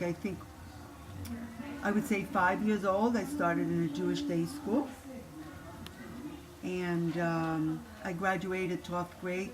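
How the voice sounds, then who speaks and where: An older grandmother from Cuba with a Cuban Spanish accent, whose first language is Spanish. She gives a homey cooking lesson in her kitchen and tells her life story, with many restarts. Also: lowish voice. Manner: homey and instructive, with frequent restarts. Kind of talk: cooking demonstration and personal storytelling. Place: home kitchen. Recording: steady background noise.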